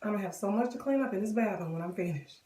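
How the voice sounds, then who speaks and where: A woman with a cold and a hoarse voice, chatting casually to viewers as she walks them through a wig styling tutorial. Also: medium pitch, expressive, rapid-fire, warm tone, far from the mic. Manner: chatty, addresses viewers directly. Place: bathroom. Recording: echoey bathroom, voice off-mic.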